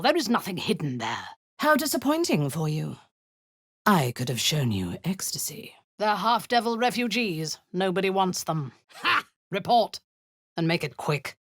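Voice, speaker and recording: A woman voicing a cruel, zealous drow commander in a video game, menacing and slow, with a low, husky voice that often drops to a whisper. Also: no room sound, clean studio sound, full character voice. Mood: scornful. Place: studio booth. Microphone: close studio mic, dry.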